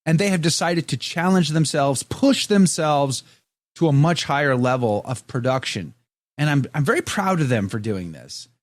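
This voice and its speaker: Lively, playful male podcast host. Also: on the high side, moderate pace, dry recording. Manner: playful, jokey, reads viewer comments aloud. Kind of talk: casual chat.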